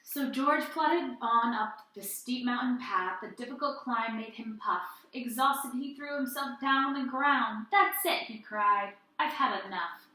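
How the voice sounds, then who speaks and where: Bright, high-voiced woman teacher reading aloud. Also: unhurried, hardly any pauses. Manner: expressive, addressing young children. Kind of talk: children's story read-aloud and lesson. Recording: roomy indoor echo.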